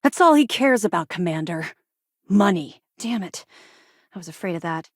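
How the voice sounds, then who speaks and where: Space navy officer for a game, lowish voice, dry close recording, frank n dutiful, bit wry. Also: female voice, lively intonation, moderate pace, no echo. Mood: indignant. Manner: crisp military address mixed with candid, wry informality. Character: frank, dutiful military officer aboard a space patrol ship. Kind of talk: video game voice acting.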